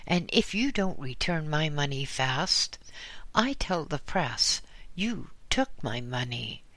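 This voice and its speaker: Reader playing a greasy, greedy publisher, slow and wheedling, pitched up. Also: male voice, big pitch swings, sharp tone, dry recording, full character voice. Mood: demanding. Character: greedy, greasy male publisher demanding money.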